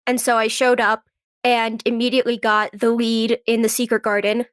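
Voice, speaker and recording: Higher-voiced woman chatting casually. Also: flat delivery, moderate pace, clear, resonant tone, no echo, clean studio sound. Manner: chatty, informal, acts out quoted remarks. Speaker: podcast guest, actress and writer. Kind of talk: casual chat, personal storytelling.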